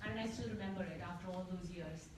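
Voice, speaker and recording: A woman's voice: lowish voice, fast. Distant, very echoey woman in her seventies. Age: 70s. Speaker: audience member commenting. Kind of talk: casual personal remarks. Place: indoor event room. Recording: very echoey, distant, noisy room.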